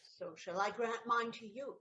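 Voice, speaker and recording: An actress playing a poised, loving noblewoman in an audio play, speaking in a measured, courtly way. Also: a little high, pushed and bright, lo-fi sound. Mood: gracious.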